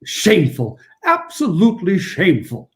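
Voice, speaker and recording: An actor playing a dignified, easily indignant old gentleman with a formal, scolding manner in a radio play. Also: sits high, huge pitch swings, normal speed. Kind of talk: 1940s-style radio play acting.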